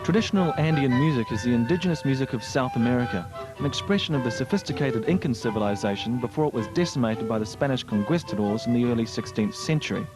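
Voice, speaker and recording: A man with a New Zealand accent narrates a documentary at a brisk clip in a mid-pitched voice. His delivery is smooth and scripted, and clear music plays under him. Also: animated.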